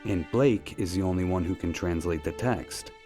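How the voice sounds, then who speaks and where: Guy as a polite determined detective type in a video game, low voice, music playing under it. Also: mellow, close to an everyday voice. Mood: earnest. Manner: courteous, measured. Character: polite, earnest amateur investigator, a determined man. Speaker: voice actor. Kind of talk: scripted point-and-click game dialogue. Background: background music.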